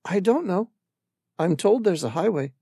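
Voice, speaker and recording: Guy putting on a higher, wary woman's voice, determined, clams up fast, lively lilt. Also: husky, dry recording, studio quality, full character voice. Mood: cautious. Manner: wary, quick to shut down. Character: guarded, determined woman stranded abroad.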